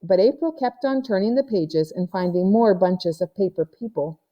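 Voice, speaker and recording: A woman's voice: mid-range voice, normal speed, mellow, lo-fi sound. A woman narrating a children's novel as a read-aloud storyteller. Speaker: audiobook reader.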